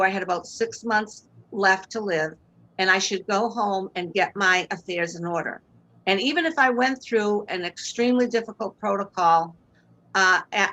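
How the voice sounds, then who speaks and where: An older woman with a Boston accent speaks over a webcam from a room at home. She tells her story earnestly and with strong faith, in a voice of ordinary middle pitch. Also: a bit bright, lo-fi sound. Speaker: guest. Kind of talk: personal testimony in interview. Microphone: video call webcam.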